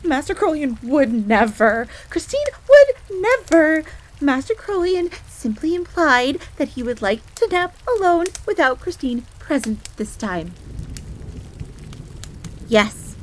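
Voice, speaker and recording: A woman's voice: high-pitched, a little slow, poor audio. A woman playing a loyal, easily flustered tsundere fox maid in an audio roleplay, speaking formally and stiffly and referring to herself in the third person. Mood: flustered, defensive. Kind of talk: scripted audio roleplay acting. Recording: steady rain noise in background. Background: rain ambience.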